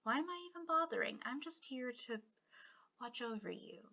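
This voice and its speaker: A woman's voice: sits high, animated, normal speed, gravelly, sharp tone, full character voice. A woman playing a bitter, impassioned female villain guarding a captive in an intimate ASMR roleplay, drifting between dramatic speech and whispers. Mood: resigned.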